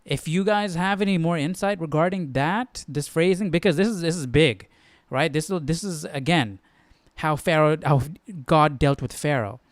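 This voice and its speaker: A man's voice: on the high side, moderate pace, no room sound. A man hosting a casual live Bible study, thinking aloud and often checking in with the listener. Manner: musing, often checking with "right?".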